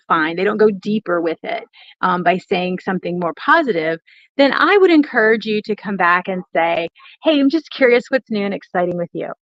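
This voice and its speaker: A woman's voice: mid-range voice, no room sound, highs cut off. A woman hosting a live stream, coaching viewers in an emphatic, encouraging, instructive way and addressing them directly. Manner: emphatic, repeats phrases for stress, addresses listeners directly. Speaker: host of a networking community's live stream.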